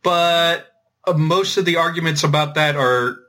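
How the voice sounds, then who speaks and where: A man explaining things informally as a podcast host, thinking aloud in a halting way with repeated words. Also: fairly high pitch, pushed and bright. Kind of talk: informal explanatory discussion of linguistics.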